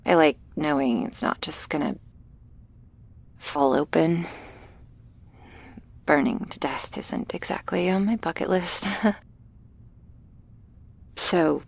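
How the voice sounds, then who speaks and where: A woman playing a shy, gentle vampire girl in an ASMR roleplay, speaking softly and hesitantly, awkward but reassuring, often slipping into a whisper. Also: low-pitched, full character voice. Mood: awkward, cautioning. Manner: hesitant, halting, soft-spoken with breathy whispers. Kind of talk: ASMR roleplay addressed to the listener.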